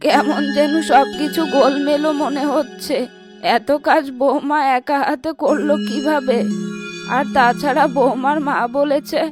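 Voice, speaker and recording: A woman's voice: high-pitched, steady tone, a little slow. A woman voicing a kindly, fussing old mother-in-law in a fairy tale cartoon. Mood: puzzled. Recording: music behind the voice.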